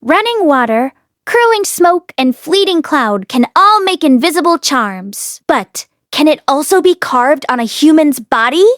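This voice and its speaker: A woman's voice: sits high, sing-song, measured pace, fairly bright tone, no room sound, clean studio sound, pitched above the performer's own voice, exaggerated voice. A woman voicing a young male senior disciple in a fantasy audiobook. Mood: spellbound. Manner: murmuring or sharply scolding.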